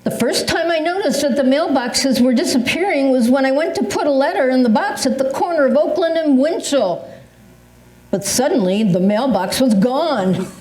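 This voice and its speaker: A woman's voice: sits high, expressive, moderate pace, a little mellow. An older woman in an amateur staged reading, playing a dramatic senior convinced of conspiracies, with a theatrical, emphatic delivery. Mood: indignant, suspicious. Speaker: amateur readers theatre performer. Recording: echoey room recording.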